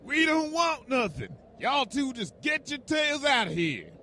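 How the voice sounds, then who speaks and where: A man's voice: extremely high pitch, sing-song, unhurried, bright, forceful tone, slight background noise, heavily acted. A man voicing a protective, folksy pony father in a wasteland audio drama, with a drawling Southern US accent. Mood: hostile, threatening.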